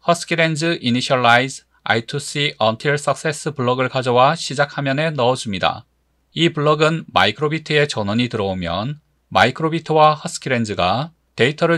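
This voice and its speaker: A man giving scripted tutorial narration as an instructor, explaining step by step in a measured manner. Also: medium pitch, fairly bright tone, no room sound, studio quality.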